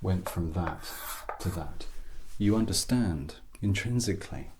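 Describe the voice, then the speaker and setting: A British man softly giving an ASMR English lesson as a teacher, breathy and often near a whisper, with an awkward, self-deprecating, gently encouraging manner. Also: deep voice, measured pace, very noisy. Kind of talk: softly spoken ASMR grammar lesson roleplay.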